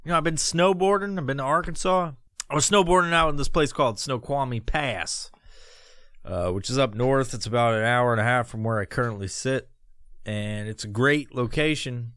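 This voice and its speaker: A man's voice: mid-range voice, normal speed, a bit bright, no room sound, clean studio sound. A middle-aged man from New York hosting a podcast, swearing constantly and laughing through rambling stories. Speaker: podcast host. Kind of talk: profane storytelling monologue.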